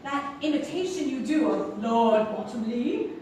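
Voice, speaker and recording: A woman playing a brisk, wry secretary in a stage comedy, with theatrical delivery. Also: a little high, animated, darkish tone. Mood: scheming, hopeful. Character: brisk, wry, lovelorn secretary, loyal and scheming. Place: theatre stage before a live audience. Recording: echoey theatre recording from far off, faint noise.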